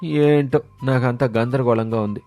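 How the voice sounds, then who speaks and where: A man voicing a humble servant in an animated moral story, grumbling in puzzlement yet respectful to his master. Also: medium pitch, moderate pace, faint music behind, natural voice. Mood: puzzled grumbling.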